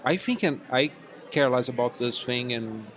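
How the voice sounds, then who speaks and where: A man's voice: mid-range voice, normal speed, fairly bright tone, slight background noise. A man chatting casually on a panel in a blunt, dismissive manner. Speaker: podcast panelist. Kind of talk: casual chat.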